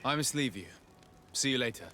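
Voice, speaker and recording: An actor playing a sincere, reserved young noble diplomat in a video game, speaking politely and formally. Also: male voice, medium pitch, expressive, a little fast, bright, forceful tone, slight background noise. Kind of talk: video game dialogue acting.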